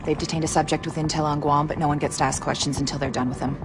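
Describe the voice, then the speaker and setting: An actress playing a stern, no-nonsense intelligence operations chief, briefing crisply in a game cutscene. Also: female voice, low-pitched, flat delivery, rapid-fire, background noise, lightly acted. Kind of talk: dramatic game cutscene acting.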